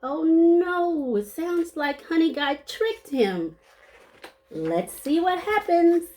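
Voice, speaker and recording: A woman's voice: very high-pitched, sing-song, takes it slowly, darkish tone. A woman reading a children's story aloud expressively, playing up the sound words and adding short asides to her listeners. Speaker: storybook reader.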